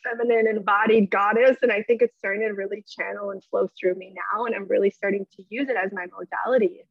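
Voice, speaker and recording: A woman guest on a podcast, talking in a bubbly, energetic way about dance and spirituality, with many "like" fillers. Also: medium pitch, quick pace, creaky, dry recording, phone-quality sound. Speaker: podcast guest, workshop leader. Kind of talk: casual spiritual chat.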